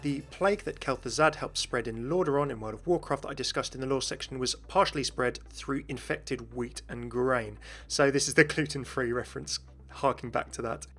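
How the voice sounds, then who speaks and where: A man's voice: medium pitch, a little fast, a bit bright, faint music behind. Male gaming commentator explaining game lore. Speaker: gaming video host.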